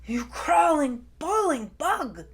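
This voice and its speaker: A woman voicing a blustering, bossy male Tyrannosaurus big brother in a storybook, with loud, growling roars. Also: on the high side, slow, gravelly, full character voice.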